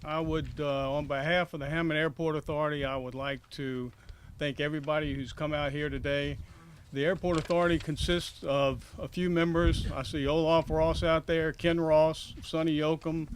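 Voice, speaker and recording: An older man giving formal, measured remarks of thanks as a chairman. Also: fairly high pitch, level tone, a little hiss or hum. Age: 50s or older. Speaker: airport authority chairman.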